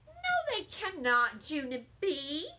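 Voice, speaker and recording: A proud, fussy little girl from a children's storybook, acted out by a woman. She sounds bright and bossy, with big swoops of pitch and a huffy, self-important air. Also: wildly swooping pitch, takes it slowly, heavily acted. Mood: indignant.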